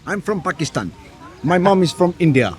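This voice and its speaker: A man with a high-pitched voice giving casual answers in a street interview. He speaks as a tourist, out of doors in a city. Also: big pitch swings, normal speed, darkish tone. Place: outdoors in a city.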